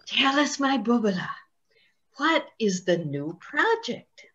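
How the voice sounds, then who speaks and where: An eager, loving old grandmother acted by a woman in a comic play reading. She speaks slowly with very lively swings of pitch and coaxes fondly. The sound is a little dull, like a video call. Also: exaggerated voice. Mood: coaxing. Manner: affectionate, coaxing, uses Yiddish pet names.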